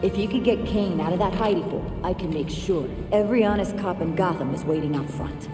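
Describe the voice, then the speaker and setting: Woman playing a low-voiced, wry American cop. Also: a little fast, far from the mic, music in the background, natural voice. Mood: dry, businesslike. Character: wry, no-nonsense female police detective. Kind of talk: video game cutscene acting. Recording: echoey hall with score behind.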